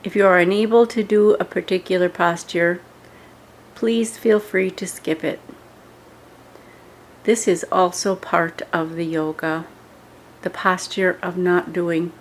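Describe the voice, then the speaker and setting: A woman giving scripted guided yoga meditation instructions in a measured way, pausing between short phrases. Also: on the low side, fairly even intonation, a little hiss or hum.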